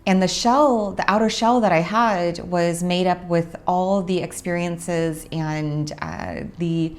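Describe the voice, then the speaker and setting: A young adult woman from Orange County, California, hosting a casual monologue about her spiritual work, unhurried and reflective with frequent pauses. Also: on the low side, steady tone. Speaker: host, spiritual practitioner.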